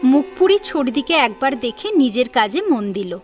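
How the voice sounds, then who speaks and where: A woman's voice: high voice, big pitch swings, normal speed, over music. A woman narrating a scripted story for an audio drama.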